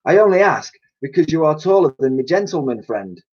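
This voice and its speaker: A man's voice: on the high side, very animated, a little fast, a little mellow, voice pushed up. A man voicing a dotty, kindly cleaning lady with a chatty, colloquial manner in a children's storybook. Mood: friendly. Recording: dull lo-fi recording.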